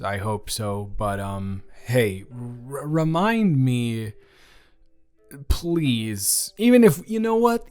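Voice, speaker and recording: A man's voice: medium pitch, close to an everyday voice. A young man playing a friendly, slightly awkward college student in a roleplay audio, talking casually and hesitantly to the listener as a dormmate. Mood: exasperated, joking. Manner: rambling, animated, hesitant casual speech.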